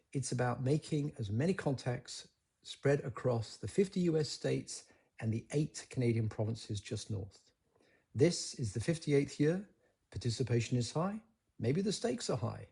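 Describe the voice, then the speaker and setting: A man's voice: mid-range voice, creaky, warm tone, breathy edge. A man narrating a hobby documentary from a script as its host.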